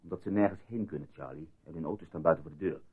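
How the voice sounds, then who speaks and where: A deep, husky, curt husband. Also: male voice, very fast. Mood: taking charge. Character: terse, sceptical husband taking charge. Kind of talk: radio play acting. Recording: tinny narrow-band old radio recording.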